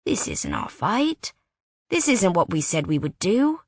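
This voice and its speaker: A woman's voice: medium pitch, wildly swooping pitch, measured pace, no echo, clean studio sound, full character voice. A woman voicing an impatient, stubborn young British man who scolds urgently. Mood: soft, solemn.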